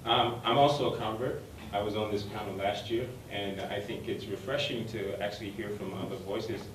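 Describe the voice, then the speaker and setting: A man's voice: on the low side, fairly even intonation, moderate pace. A man moderating a university panel, speaking hesitantly with many ums and uhs. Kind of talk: hesitant opening remarks. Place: university lecture room. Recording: echoey hall, far mic, noisy.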